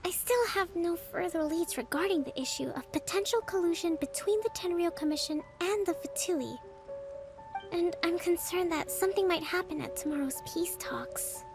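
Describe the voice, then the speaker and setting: A woman voicing a calm, gentle young female island leader in a video game, speaking in a soft, composed way. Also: very high-pitched, normal speed. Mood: concerned. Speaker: leader giving a speech. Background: background music.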